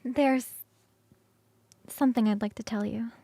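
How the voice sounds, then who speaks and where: A woman voicing an ancient succubus queen for ASMR roleplay, tender and teasing, speaking slowly and intimately, often near a whisper. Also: fairly high pitch, fairly even intonation, mellow, dry recording.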